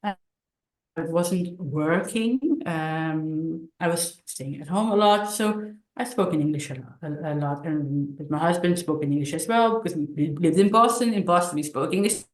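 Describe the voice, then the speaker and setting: A middle-aged woman with a slightly low voice talks casually about her own life as a guest. She moves haltingly, repeating words and restarting her sentences. The recording has a clearly roomy echo. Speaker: podcast guest, author. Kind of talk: casual personal storytelling.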